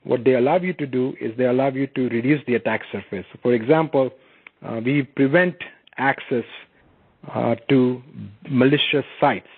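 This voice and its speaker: A man giving an explanatory product presentation on a conference call, speaking haltingly with frequent filled pauses. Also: mid-range voice, slightly rough. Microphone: conference call phone line. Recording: muffled conference phone line.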